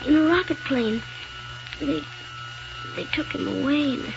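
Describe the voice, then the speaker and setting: A woman's voice: high voice, animated, unhurried, creaky, full character voice. A woman playing a plucky injured young boy in a 1930s radio serial, on a muffled, noisy old broadcast. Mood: weak, earnest.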